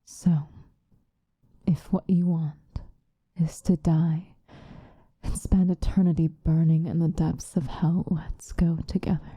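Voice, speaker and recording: A woman playing an obsessive, possessive lovesick woman in an intimate, whispered ASMR roleplay, with a breathy voice. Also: low-pitched, flat delivery, warm tone, no echo, heavily acted. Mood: possessive, resigned. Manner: slow, intimate, pleading.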